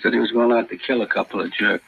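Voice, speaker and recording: An actor playing a nervy small-time thief turned informant, aggrieved and self-justifying, in slangy, clipped, insistent speech, in the style of 1950s radio crime drama. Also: male voice, medium pitch, steady tone, fast, creaky, lightly acted. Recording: dull, noisy old radio recording.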